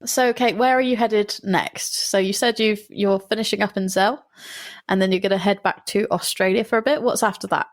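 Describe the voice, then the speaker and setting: A woman's voice: medium pitch, steady tone, normal speed. A woman with a stuffy nose hosting a podcast, asking easygoing questions and reading the outro. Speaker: podcast host. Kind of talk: casual interview and scripted outro.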